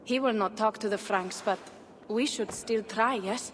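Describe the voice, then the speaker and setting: A woman's voice: medium pitch, measured pace, close to an everyday voice. A woman voicing a proud but self-doubting Viking shield-maiden in a video game, blunt and earnest. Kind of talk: dramatic video game acting. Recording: reverberant, with faint ambient noise.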